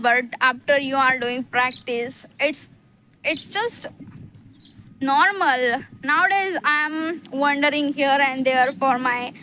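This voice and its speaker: A woman's voice: sits high, sharp tone, slight background noise, poor audio. A young woman with an Indian accent vlogging to camera outdoors, speaking earnestly and encouragingly with frequent hesitations and restarts. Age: young adult. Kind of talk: motivational vlog to camera. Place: outdoors in a village.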